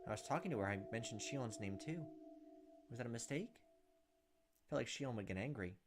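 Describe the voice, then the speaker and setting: A young man hosts a let's play, reading visual novel text aloud and adding his own commentary. Game music plays clearly behind his voice. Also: mid-range voice, expressive, moderate pace. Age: young adult.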